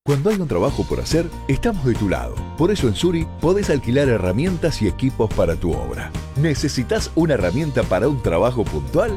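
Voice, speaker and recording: A man reads a radio ad in an upbeat, persuasive voice. His intonation is lively. Clear music plays behind him. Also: mid-range voice, a little fast. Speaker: commercial announcer. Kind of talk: scripted radio ad. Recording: music bed under voice.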